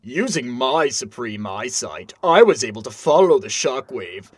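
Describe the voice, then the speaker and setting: A man's voice: medium pitch, expressive, measured pace, husky, no room sound. Man voicing a theatrical, swooping fire leader. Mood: stunned. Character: proud, accusing male leader of fire people. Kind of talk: animated fantasy dialogue.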